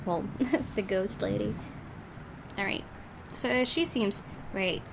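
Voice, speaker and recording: Woman gamer, reading and musing aloud, mid-pitched voice, noisy hissy recording. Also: lively intonation, slow pace, gravelly. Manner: thinking aloud, puzzling over the plot. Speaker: game streamer. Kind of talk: Let's Play commentary and reading aloud.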